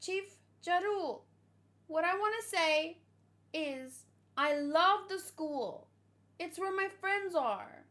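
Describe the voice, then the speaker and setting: A woman's voice: very high voice, expressive, slow, fairly bright tone, heavily acted. A woman voicing an earnest, selfless young giant girl from a manga, sweet and polite. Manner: sweet, polite, plain-spoken.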